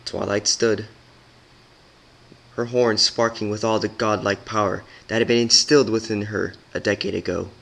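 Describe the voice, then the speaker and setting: A man's voice: on the low side, flat delivery, normal speed, creaky, a bit bright. A man giving a dramatic, scripted reading of a story as its narrator.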